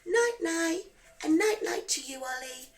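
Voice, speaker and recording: A woman narrating a rhyming children's bedtime story in a gentle, expressive, singsong way, as a storyteller for young listeners. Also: very high voice, unhurried. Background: background music.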